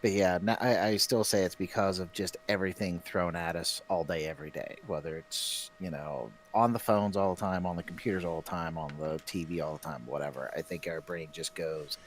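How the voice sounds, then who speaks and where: A man's voice: deep voice, brisk, few pauses, slight background noise. A man in his fifties hosting a casual chat while painting, full of self-mocking jokes and banter. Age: 50s. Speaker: stream host.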